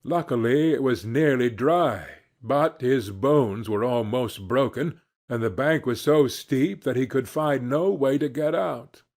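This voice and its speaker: A man narrating a fairy tale from a script in a measured, deliberate storytelling manner. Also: medium pitch, darkish tone.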